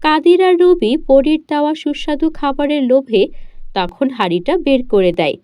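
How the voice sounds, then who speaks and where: A woman's voice: sits high, moderate pace, mellow, no room sound. A woman narrating a scripted fairy tale for children as a storyteller.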